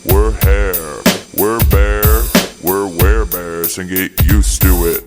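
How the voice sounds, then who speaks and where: A man playing a weary, bearded male road comic with a low, slow, mournful drawl in a comedic radio play. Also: fairly even intonation. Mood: rapping. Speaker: guest comedian. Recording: loud music under the voice.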